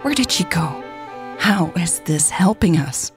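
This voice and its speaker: A woman's voice: fairly low pitch, expressive, unhurried, faint music behind. A woman narrator voicing a distraught, distrustful, stubborn woman who protests in an agitated manner in a romance story. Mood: frustrated.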